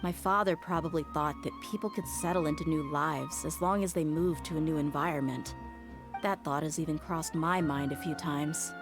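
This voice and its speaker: A tough young mercenary woman speaks low and reflectively over prominent music. She is candid and resolute, with a wistful streak, in the style of video game dialogue. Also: steady tone, moderate pace. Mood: wistful, reassuring.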